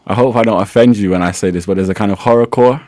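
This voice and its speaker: A man's voice: on the low side, very fast, a little mellow, no echo. A man hosting a radio interview in a studio, easygoing and teasing, laughing often. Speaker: radio host. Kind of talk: casual interview.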